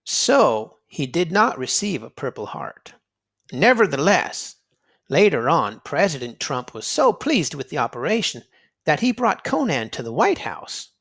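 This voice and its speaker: Animated, higher-pitched male children's narrator. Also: vocal fry. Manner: dramatic, emphatic, with drawn-out words and pauses. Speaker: children's read-aloud narrator and quiz host. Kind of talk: expressive storybook read-aloud for children.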